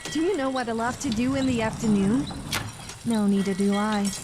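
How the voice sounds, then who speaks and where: A woman's voice: a little high, normal speed, heavy background noise, natural voice. A woman playing a cheeky, playful young female adventurer in a video game. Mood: playful, teasing. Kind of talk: video game character dialogue.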